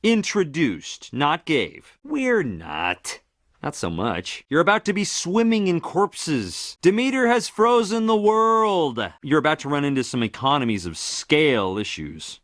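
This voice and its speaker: A man's voice: a little high, fairly bright tone, no room sound, clean studio sound. A man playing a wry, glib Greek messenger god for a game, with a sardonic, chatty, flippant manner. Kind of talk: theatrical game voice lines.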